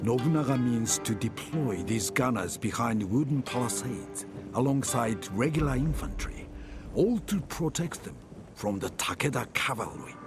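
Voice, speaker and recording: A man narrating samurai wars for a video game cutscene as a grave chronicler, in measured, dramatic storytelling. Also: mid-range voice, animated, natural voice. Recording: music under the narration. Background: cinematic music.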